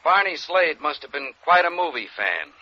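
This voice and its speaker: Clipped male insurance agent, very sharp forceful tone, husky, old radio drama. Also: fairly high pitch, big pitch swings, moderate pace, heavily acted. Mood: businesslike. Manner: clipped, matter-of-fact. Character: businesslike male insurance company agent assigning a case. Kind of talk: 1950s radio drama acting. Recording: dull, raspy vintage radio recording.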